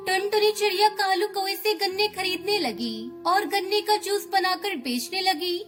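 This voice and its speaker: Very high-pitched woman narrating children's story. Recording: music bed under narration. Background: background music.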